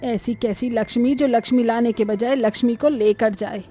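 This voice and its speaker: Cartoon family drama: female actor as nagging, cruel elderly mother-in-law, highish voice, taunting delivery, music underneath. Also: steady tone, moderate pace, mellow, natural voice. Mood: bitter, scolding. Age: older. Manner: harsh, taunting, scolding.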